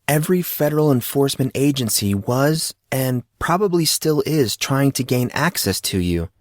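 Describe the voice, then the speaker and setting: A man's voice: mid-range voice, animated, normal speed, no room sound, clean studio sound, voice pushed up, natural voice. A man voicing a chatty, well-informed teenage schoolboy in an audiobook, explaining things casually and eagerly. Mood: earnest explaining.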